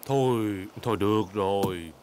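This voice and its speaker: A man's voice: on the low side, unhurried, very noisy, lightly acted. A man voicing a good-natured, easygoing daddy pig with a mild, indulgent manner in a children's cartoon.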